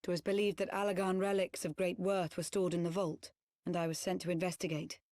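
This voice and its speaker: A woman playing a composed, earnest ex-spy turned loyal knight in a video game cutscene, speaking in formal, measured, archaic phrasing. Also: lowish voice, flat delivery, darkish tone, dry recording, clean studio sound, lightly acted. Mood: solemn, confessional.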